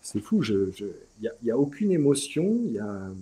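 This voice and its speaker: A middle-aged French-speaking man, a guest in an interview, talking in a relaxed, chatty way with frequent restarts and small fillers. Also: medium pitch, brisk, poor audio. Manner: hesitant, many restarts and "tu vois".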